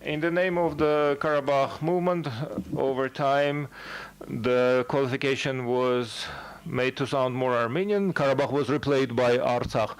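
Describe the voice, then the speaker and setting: A man delivering a formal academic lecture as a scholar, speaking in measured, halting phrases. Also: mid-range voice, clean tone, rough recording.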